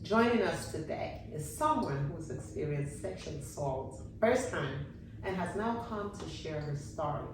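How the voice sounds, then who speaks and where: A woman from Sierra Leone hosting a talk show. She opens upbeat, then asks soft, gentle questions with many listening sounds. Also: sits low, expressive, a little slow, very echoey, recorded from a distance, background noise. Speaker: host.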